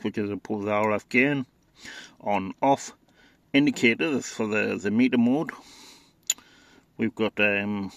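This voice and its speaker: A man's voice: fairly low pitch, fairly bright tone, no echo. A man informally demonstrating radio equipment in a casual, hesitant, matter-of-fact way. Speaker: radio enthusiast presenting equipment.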